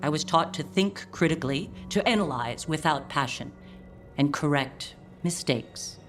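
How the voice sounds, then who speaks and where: An actress voicing a stern, proud middle-aged scholar mother in a video game, speaking formally and with measured restraint. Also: deep voice, animated, faint music behind. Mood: cool, stern. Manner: formal, measured, clipped diction without warmth. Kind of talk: video game dialogue acting.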